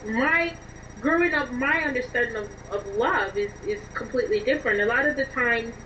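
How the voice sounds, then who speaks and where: Female interview guest, earnest writer explaining herself, slightly high voice, recorded at a distance with faint noise. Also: moderate pace, sharp tone. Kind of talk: reflective interview conversation. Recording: dull lo-fi, distant, noisy line.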